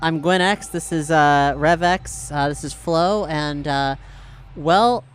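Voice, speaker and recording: Female RPG player, clean smooth voice, casual improvised banter, playful and halting. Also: deep voice. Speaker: tabletop role-play player. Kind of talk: casual improvised role-play chat.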